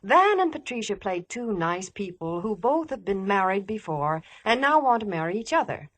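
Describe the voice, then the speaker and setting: Animated 1950s radio spokeswoman. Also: mid-range voice, moderate pace, dry recording. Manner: polished, enthusiastic. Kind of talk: 1950s scripted radio advertising chat.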